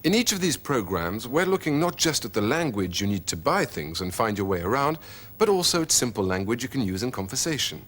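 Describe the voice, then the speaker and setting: A man narrating a language lesson, repeating phrases slowly and clearly. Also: medium pitch, sing-song, a bit bright. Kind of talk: scripted teaching narration.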